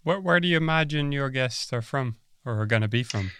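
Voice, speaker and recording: A man's voice: medium pitch, animated, a little fast, sharp tone, clear, resonant tone, no echo, studio quality. A man hosting a podcast, introducing his guest and asking questions with stumbling restarts. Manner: stammering restarts when asking. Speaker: podcast host. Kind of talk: interviewing a guest.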